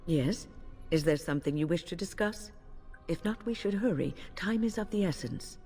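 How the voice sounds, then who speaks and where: A wise older sorceress from a fantasy game, with a low, slightly husky voice. She speaks measuredly and earnestly, composed and instructive, in a long hall reverb. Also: expressive, normal speed, a little mellow, faint music behind. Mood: brisk. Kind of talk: video game voice acting.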